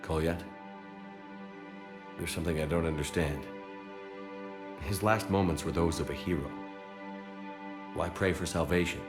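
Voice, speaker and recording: Deep-voiced, resolute military commander. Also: male voice, measured pace, pauses often, over music. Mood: somber. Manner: firm, plain, controlled. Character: resolute, stoic military commander, heroic and direct.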